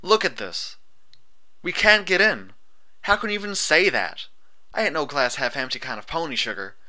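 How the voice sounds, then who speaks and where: A man's voice: a little high, sing-song, moderate pace, bright, forceful tone, voice pushed up, full character voice. A man voicing a young female pony, weary and blunt, with folksy drawling speech, in a storybook reading. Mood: exasperated.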